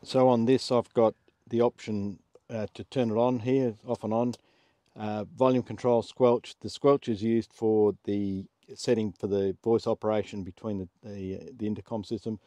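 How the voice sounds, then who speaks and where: Mellow, low-voiced Australian man. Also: fairly even intonation, moderate pace, no echo. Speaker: off-road camping vlogger. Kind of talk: casual explanatory vlog. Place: inside a parked truck cab in the bush.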